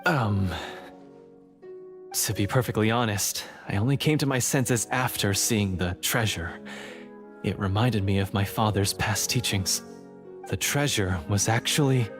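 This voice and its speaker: A man playing an earnest young repentant hunter in a video game, halting and a little theatrical. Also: lowish voice, close to an everyday voice. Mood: sheepish. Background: game music.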